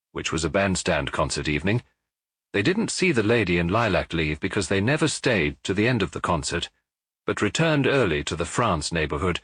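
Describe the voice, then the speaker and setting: Male audiobook narrator, measured, expressive, husky, dry close studio sound. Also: low voice, no echo. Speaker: audiobook reader. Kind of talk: scripted narration of a detective novel.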